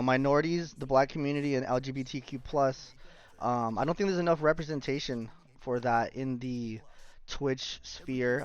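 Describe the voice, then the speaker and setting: A man hosting a casual podcast interview on a stream, relaxed and friendly, who clears his throat now and then. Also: medium pitch, highs cut off. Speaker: podcast host.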